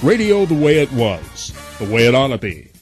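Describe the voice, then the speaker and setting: A man's voice: medium pitch, sing-song, moderate pace. A man reading scripted promo narration as a radio station announcer. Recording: faint music bed behind.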